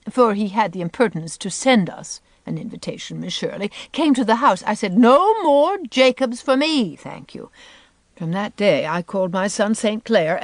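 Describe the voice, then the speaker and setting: Woman voicing a pompous, high-pitched society lady. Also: no room sound. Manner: pompous, affected, emphatic. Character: haughty, affected, overdressed society mother, indignant and insistent. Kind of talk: audiobook character voice.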